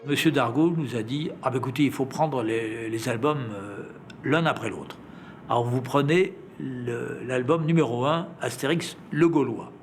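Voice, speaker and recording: A man's voice: mid-range voice, normal speed, faint music behind. An older man telling stories in an interview, acting out remembered remarks as he goes. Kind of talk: conversational storytelling.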